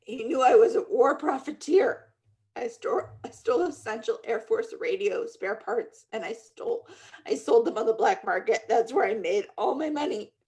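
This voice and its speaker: A woman's voice: on the high side, lively intonation, moderate pace, darkish tone, natural voice, poor audio. A woman playing a defensive military colonel with a shady past in a comedy script table read.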